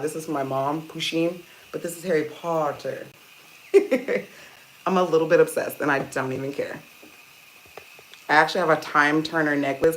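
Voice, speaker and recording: A woman with a deep voice hosting a casual hair-care livestream, chatting to viewers and calling them "you guys" while she steams her hair. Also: a little hiss or hum, low-quality recording. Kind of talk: casual live-stream chat reading comments. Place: indoors at home, seated on a small chair. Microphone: phone.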